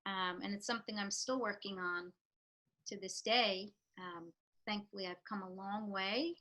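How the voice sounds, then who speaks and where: Interview guest: woman with a New York accent, bright and forceful tone, reflective and earnest. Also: medium pitch, fairly even intonation, moderate pace. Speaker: real estate professional. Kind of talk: interview answers.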